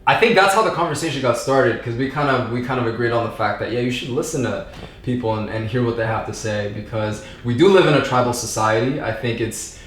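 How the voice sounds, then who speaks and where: A man in his thirties from Southern California, a podcast guest talking casually in a relaxed, rambling way with plenty of fillers and slang. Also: mid-range voice, fast, a little hiss or hum. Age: 30s. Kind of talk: casual conversation. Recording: echoey room.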